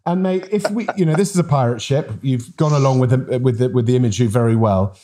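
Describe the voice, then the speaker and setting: A man's voice: mid-range voice, quick pace, noisy background. A middle-aged man hosting, asking questions with teasing jokes. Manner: jokey, teasing, warm mate-to-mate. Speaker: podcast host. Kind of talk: interview questions in casual chat.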